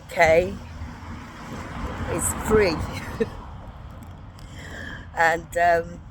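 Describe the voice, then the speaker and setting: Unhurried woman vlogging on a morning walk, gruff voice, faint traffic hum. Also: fairly low pitch. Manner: soft-spoken, rambling, prayerful. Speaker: faith vlogger. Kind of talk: casual devotional walk-and-talk. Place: walking, from a lift to outdoors.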